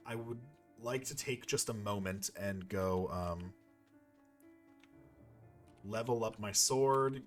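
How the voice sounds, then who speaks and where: A man hosting a gaming video with casual let's play commentary, rambling a little as he thinks aloud. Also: on the low side.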